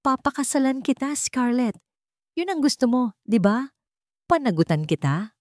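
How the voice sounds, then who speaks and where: A woman's voice: high-pitched, very animated, moderate pace, no room sound, pitched above the performer's own voice. Young rich reluctant groom, female narrator playing him, bitter, dismissive, curt, dry close sound. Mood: cold, bitter.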